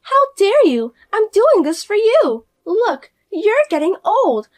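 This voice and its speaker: A woman voicing a spoiled, arrogant sister-in-law who talks down to everyone in a shrill, scolding way. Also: sing-song, slow, heavily acted. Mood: indignant. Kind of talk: audio story voice acting.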